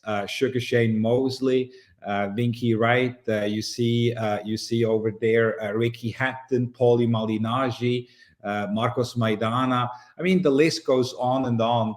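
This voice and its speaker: Swiss-born man telling his story. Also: mid-range voice. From Switzerland. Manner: measured, frequent "uh" pauses. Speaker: guest, boxing promoter. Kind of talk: relaxed interview storytelling.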